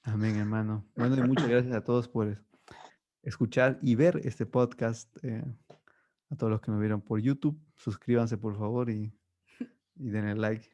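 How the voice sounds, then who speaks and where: A man's voice: lowish voice, normal speed. A man hosting a faith podcast in casual chat, hesitant and full of fillers. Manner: relaxed, hesitant, many fillers like "bueno" and "¿no?". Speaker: podcast host.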